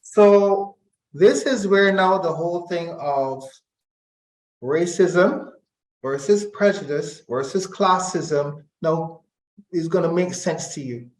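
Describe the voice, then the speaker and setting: A middle-aged man from Barbados, explaining his views deliberately as an interview guest and repeating phrases for emphasis. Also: fairly high pitch. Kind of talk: reflective conversational interview. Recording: echoey room.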